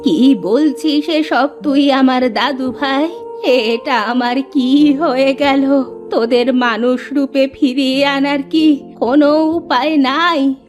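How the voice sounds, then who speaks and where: Cartoon grandmother played by a woman narrator: elderly, loving, fretful, high voice with drawn-out, wailing delivery. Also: steady tone, faint music behind. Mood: distressed, lamenting. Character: worried, loving old grandmother. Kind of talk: cartoon fairy-tale voice acting.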